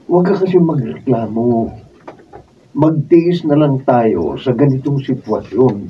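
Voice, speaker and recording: Cheating husband played by a Filipino man, dismissive, animated radio drama delivery, distant mic with faint noise. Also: mid-range voice, unhurried, close to an everyday voice. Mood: dismissive, irritated. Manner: defensive, dismissive. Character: evasive, defensive cheating husband.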